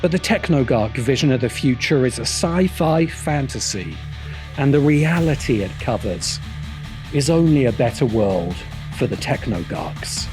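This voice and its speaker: Animated man narrating over music. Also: medium pitch, a little slow. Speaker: video essay narrator and host. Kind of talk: scripted narration, polemical commentary. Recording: music bed behind voice. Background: background music.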